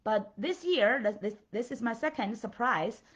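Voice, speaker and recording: A woman's voice: a little high, lively intonation, moderate pace, husky, dull audio. A middle-aged woman from China with a Chinese accent, a coach giving a motivational talk, full of fillers and often checking in with "right?" and "okay?". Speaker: life and business coach.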